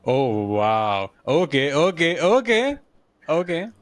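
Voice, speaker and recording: A man's voice: a little high, very animated, dry recording. A man co-hosting a podcast, joking and laughing with his co-host. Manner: jokey, quick to laugh. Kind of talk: casual podcast banter.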